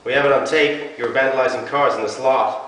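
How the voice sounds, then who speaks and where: A man's voice: medium pitch, fairly even intonation, fast talker, fairly bright tone, voice pushed up, lightly acted. A man voicing a squat younger policeman, curt and accusing, in a dramatic story reading. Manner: curt, listing charges emphatically. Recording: echoey hall from far off, hiss.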